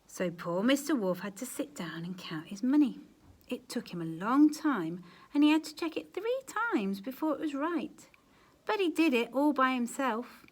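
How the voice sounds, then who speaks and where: A woman reading a children's story aloud with expressive, dramatic emphasis, as a storyteller addressing young listeners. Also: high voice, normal speed. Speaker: storyteller, teacher.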